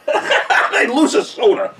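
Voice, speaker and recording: A young man casually hosting a live holiday stream from a decorated room at home, joking and thinking out loud with lots of fillers, recorded on a camcorder mic. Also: slow pace, very noisy. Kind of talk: casual live-stream chat reading viewer comments.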